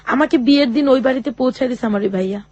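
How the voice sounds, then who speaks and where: A woman, an interview guest, telling her own hard life story in a halting, earnest way and often quoting what others said to her. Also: high voice, darkish tone, lo-fi sound.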